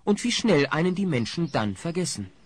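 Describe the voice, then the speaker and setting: An actor playing a wry storyteller, narrating a scripted radio play in a husky voice. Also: male voice, on the high side, sing-song, moderate pace, slight background noise.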